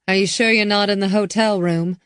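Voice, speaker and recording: A woman voicing a policewoman and mother who forces her voice to stay calm in an emergency. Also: medium pitch, a little fast, clean tone, no echo, studio quality, pitched above the performer's own voice, lightly acted. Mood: urgent calm. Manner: urgent, forcing calm. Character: steady woman cop, protective mother.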